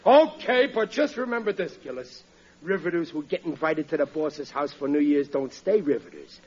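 A man's voice: on the high side, lively intonation, normal speed, husky. An actor playing a blustering, boastful husband and father in a 1940s radio sitcom. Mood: indignant bluster. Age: middle-aged. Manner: blustering, indignant, ungrammatical. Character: blustering, boastful, good-natured working-class husband and father. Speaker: comedy lead actor. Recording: muffled vintage radio recording.

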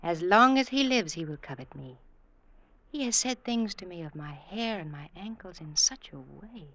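A low-voiced, seductive scheming wife. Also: female voice, expressive, normal speed, fairly bright tone. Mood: insinuating. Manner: intimate, insinuating, often dropping to breathy whispers. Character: sly, seductive, manipulative wife goading her husband. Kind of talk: classic radio drama acting.

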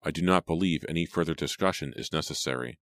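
Man acting a very deep, curt, stern father. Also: fast, fairly bright tone, no room sound, studio quality. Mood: impatient, sharp. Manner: curt, commanding. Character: impatient, stubborn, stern father of grown daughters.

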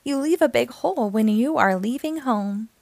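A woman hosting storytime, reading a children's picture book aloud in an expressive, deliberate storytelling manner. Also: on the high side, creaky, dry recording. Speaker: storytime host and reader.

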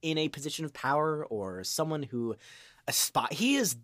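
A man's voice: a little high, very animated, moderate pace, fairly bright tone, no room sound, studio quality. A man speaking as a voice actor guest in a casual podcast interview, rambling and often restarting his thoughts.

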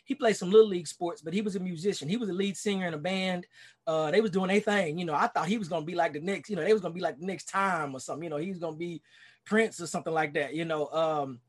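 High-voiced Texan man telling his story. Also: fast, breathy edge. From Dallas, Texas. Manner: earnest, rambling, many "you know"s and restarts. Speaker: pastor and podcast guest. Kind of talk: casual interview storytelling. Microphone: video call.

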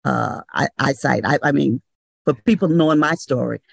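An older woman from North Carolina who owns radio stations, giving measured advice on a video-call panel with many pauses. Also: rumbling low, gravelly, no room sound, clean studio sound. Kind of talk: panel discussion.

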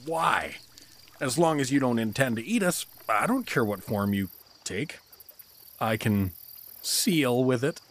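An actor playing a good-natured, blundering adventurer in a fantasy audio drama, awkward, apologetic and expressive. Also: male voice, mid-range voice, unhurried, long pauses. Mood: wry.

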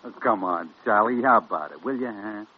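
A man's voice: fairly low pitch, brisk, slightly rough. An actor playing a cajoling, pestering young man in 1940s radio drama style. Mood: pleading, eager. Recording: thin, phone-like old radio, faint noise.

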